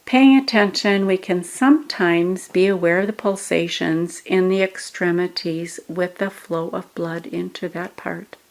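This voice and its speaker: A woman guiding a meditation, giving measured instructions in short phrases with pauses between them. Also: on the low side. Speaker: meditation guide. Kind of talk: guided meditation narration.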